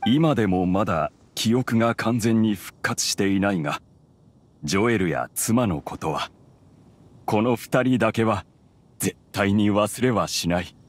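A man playing a gentle, solemn father and hunter, speaking gravely and tenderly in anime-style game dialogue. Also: fairly low pitch, lively intonation, unhurried.

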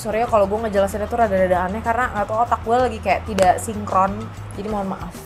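A woman's voice: mid-range voice, fairly even intonation, very fast. A young woman with a blocked, nasal voice from a bad cold walks through her makeup routine in a loose, chatty way, tossing in casual jokes at her own expense. She talks close to the camera in a small room at home, with faint music running underneath. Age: young adult. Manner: chatty, casual, self-deprecating asides. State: stuffy nose from a heavy cold. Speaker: beauty vlogger. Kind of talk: makeup tutorial talk. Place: small indoor room at home, rain outside.

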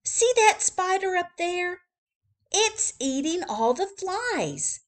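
A woman reading a storybook aloud, voicing an excited male zoo worker in a raised, eager voice. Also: slow pace. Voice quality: high, loud, eager.

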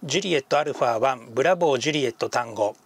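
A man's voice: medium pitch, racing, a little hiss or hum. A man giving casual vlog narration as a ham radio hobbyist, often muttering hesitantly to himself. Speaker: amateur radio hobbyist and vlogger.

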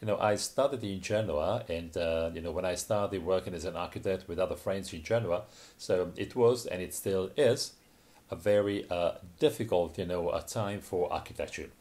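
A man's voice: deep voice, moderate pace, a little mellow. A man giving a simultaneous interpretation of a conference talk, hesitant and full of fillers and restarts.

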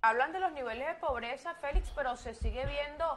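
A woman's voice: sits high, brisk, slight background noise. A woman speaking insistently on a televised discussion panel. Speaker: TV show panelist.

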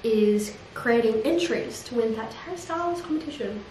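A woman's voice: fairly high pitch, expressive, slight background noise, rough recording. A young woman chatting casually to a handheld camera in a small room at home. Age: young adult. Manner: chatty, quick, trailing into quiet asides. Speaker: vlogger. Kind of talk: casual vlog chat to camera. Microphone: handheld camera close to face.

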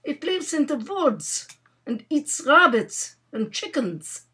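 Woman playing an eager high-spirited Russian youth, lively. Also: exaggerated voice. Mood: cheerful. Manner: boyish, eager, halting.

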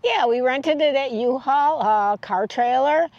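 A woman's voice: high-pitched, a little slow, darkish tone, dull audio, slight background noise. An older American woman casually recounting a trip as a travel vlog host, speaking outdoors in the open air.

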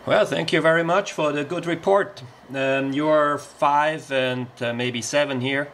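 A man's voice: fairly high pitch, a little slow, a bit bright, slight background noise. A man making a casual amateur radio contact, speaking politely and using radio procedure words.

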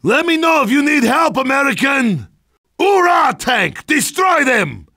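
A boozy, sardonic Russian soldier for a video game. His voice sits high and swings widely, with a forceful edge. He blusters and mocks in thick Russian-accented broken English, dropping his articles. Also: big pitch swings, a little slow, no echo, studio quality, full character voice. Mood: teasing, proud. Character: hard-drinking boisterous Russian soldier, gruff and sardonic. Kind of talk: video game character barks.

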